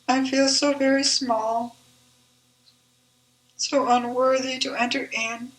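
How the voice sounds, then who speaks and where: A woman reciting her own devotional poem in a measured, deliberate, reverent manner. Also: sits high, level tone, poor audio. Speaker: author reading own poem.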